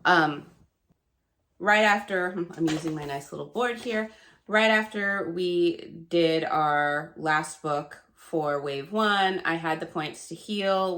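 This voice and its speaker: Book vlogger, woman, 30s, low voice, gravelly and nasal with a cold, chatty. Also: a bit bright. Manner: chatty, rambling, laughs often. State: hoarse and stuffy from a cold. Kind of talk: casual chat to camera.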